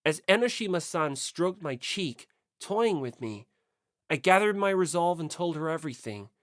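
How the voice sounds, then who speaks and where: A woman narrates a scripted story in the first person as an audiobook reader. Her pitch is animated and varied. The recording is very dry. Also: normal speed, dry recording.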